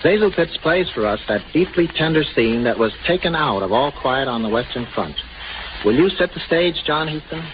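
A man's voice: a little high, expressive, normal speed. A man presenting a radio show with scripted narration in 1930s style. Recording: noisy, muffled 1930s radio broadcast.

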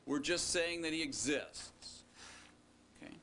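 Male teacher, slow and deliberate delivery, joking asides, bright tone, faint hiss behind. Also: on the high side. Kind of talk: recorded classroom lecture.

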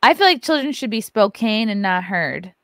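A woman's voice: mid-range voice, very animated, moderate pace, fairly bright tone, no echo. Woman, 30s, podcast host, casual livestream banter, swears a lot, dry close studio mic. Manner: loose, joking, swears freely. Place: home studio with neon lights. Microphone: large studio mic on arm.

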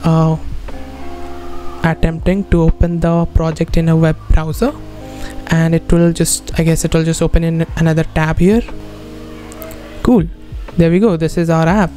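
A man's voice: on the high side, level tone, moderate pace, long pauses, warm tone, soft background music. A man in his twenties explaining steps in a casual coding tutorial, informally checking in with the listener as he goes. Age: 20s. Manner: informal, often tagging statements with "right?". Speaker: tutorial host. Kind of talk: casual spoken screen-recorded coding tutorial. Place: indoor room at a computer.

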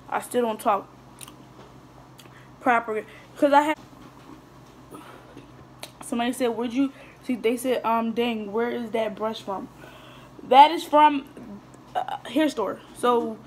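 A woman's voice: fairly high pitch, measured pace, long pauses, a little hiss or hum. A teenage girl chatting casually to her viewers while eating, rambling through questions with lots of fillers and restarts. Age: teens. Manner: talks while eating, mouth sometimes full. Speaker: vlogger. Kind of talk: casual mukbang chat answering viewer questions. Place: small indoor room at a table. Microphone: phone camera on the table in front of her.

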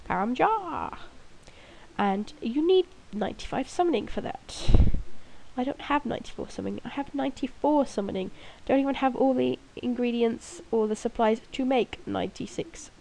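Young woman with a stuffy nose from a cold, mellow voice, gaming vlogger who rambles and laughs at herself. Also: on the high side, lively intonation, moderate pace, creaky, a little hiss or hum, poor audio. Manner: rambling, self-mocking, giggly. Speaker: gaming video creator. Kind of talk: casual gameplay commentary. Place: room at home, alone at night.

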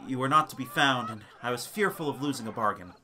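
Man acting sly vengeful aristocrat, bright forceful voice, coaxing, falsely friendly, gothic audio story. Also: mid-range voice, fast. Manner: smooth flattery, feigned concern.

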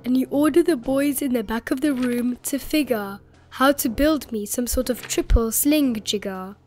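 A woman narrating a rhyming picture book as an expressive, dramatic read-aloud. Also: high voice, normal speed. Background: music.

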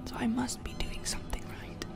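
A woman's voice: music in the background, natural voice. Whispering, teasing demon girl acted by a woman. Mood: coy, teasing. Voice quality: breathy whisper. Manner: slow, intimate, teasing. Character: possessive, teasing female sleep paralysis demon, menacing yet affectionate. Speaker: ASMR roleplay performer. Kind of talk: whispered ASMR roleplay.

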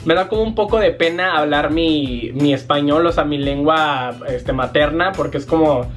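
Young mexican guy chatting to his cam in his bedroom, kinda high voice, slangy and animated, light guitar music behind. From Mexico, Jalisco. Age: young adult. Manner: animated, lots of hand gestures, slangy, puts on quick voices for quoted people. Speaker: vlogger. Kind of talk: casual chat to camera. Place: small bedroom, indoors. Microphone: fixed camera at desk.

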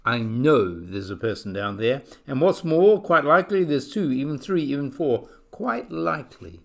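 A man voicing a gentle, protective elephant in a storybook, pleading and sincere. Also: mid-range voice, expressive, normal speed, creaky. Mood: earnest pleading.

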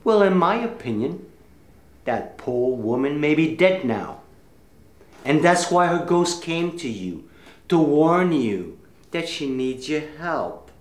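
An actor playing a proud, touchy male psychic medium who speaks slowly and with offended dignity. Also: on the high side, very animated, echoey room. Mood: earnest. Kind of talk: indie drama acting.

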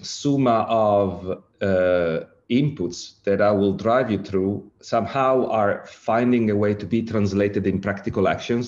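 A middle-aged man from Italy with an Italian accent, giving an online lecture with slides, halting and full of fillers and rephrasings. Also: fairly low pitch, a little mellow, muffled recording. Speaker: lecturer, director of an ageing innovation centre.

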